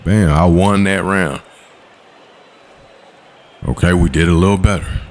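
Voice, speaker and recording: A man, giving laid-back voice-over commentary on his gameplay in a slangy, coaching way. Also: darkish tone. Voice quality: low voice. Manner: laid-back, coaching, slangy with quick "boom" call-outs. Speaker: gaming channel host. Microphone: voice-over recorded separately from the footage.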